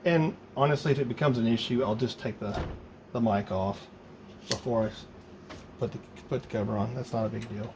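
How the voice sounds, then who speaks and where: A man talking casually and haltingly, thinking aloud as he works through a hands-on project. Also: medium pitch, fairly even intonation, vocal fry. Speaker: hobbyist maker demonstrating a DIY project. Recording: loud background noise.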